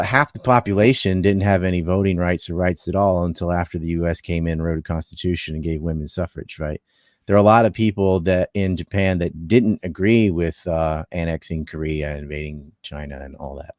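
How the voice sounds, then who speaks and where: A man with a low voice talks casually on a panel. His manner is offhand and wry, and the sound is dry, without room echo. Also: fairly even intonation, fairly quick, darkish tone. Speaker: podcast panelist. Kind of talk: casual chat.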